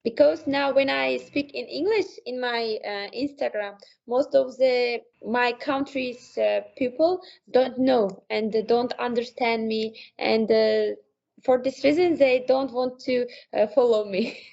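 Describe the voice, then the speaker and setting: Young uzbek woman, uzbek native speaker, a bit gravelly, slightly high voice, muffled lo-fi audio. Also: fairly even intonation, unhurried, darkish tone. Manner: hesitant, many uh fillers, laughs between phrases. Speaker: medical student guest. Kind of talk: casual interview answers in halting English.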